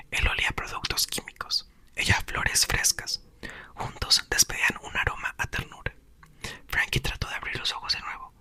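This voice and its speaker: Male ASMR reader, breathy whisper, lively bright tone. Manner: soft unvoiced whisper throughout. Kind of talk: whispered ASMR book reading.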